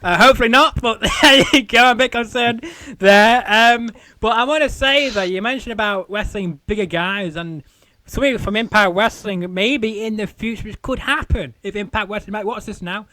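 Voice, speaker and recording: A man hosting a casual interview show, chatty and rambling, with a croaky voice. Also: high-pitched, moderate pace, pushed and bright, no room sound. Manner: rambling, chatty, many fillers. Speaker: podcast host.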